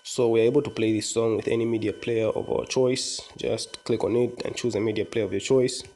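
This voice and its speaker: A man with a fairly low voice presents a casual app walkthrough. He explains each step informally, like a presenter guiding viewers through a screen. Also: fairly even intonation, fairly quick. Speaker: tutorial presenter. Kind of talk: casual software walkthrough narration.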